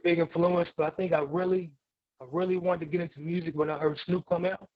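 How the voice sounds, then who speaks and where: Male guest, forties, slightly high voice, laid-back slangy storytelling, tinny phone-like audio. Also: little pitch movement, fast, no echo. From Germany and Missouri, USA. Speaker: guest, hip hop artist and producer. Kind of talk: casual interview chat.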